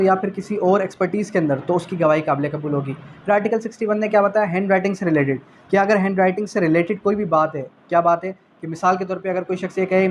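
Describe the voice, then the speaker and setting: A man with a Pakistani accent teaches a revision class in a slightly high voice. He asks rhetorical questions, answers them himself and repeats key phrases, switching to English for technical terms. There is some noise behind him. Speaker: law teacher. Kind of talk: exam revision lecture.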